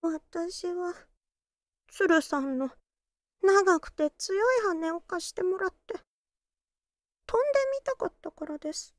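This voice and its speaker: A woman's voice: very high voice, takes it slowly, pauses often, no echo, studio quality, voice pushed up. A woman voicing a shy, earnest young boy duck in a children's storybook tale. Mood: bashful, confessing.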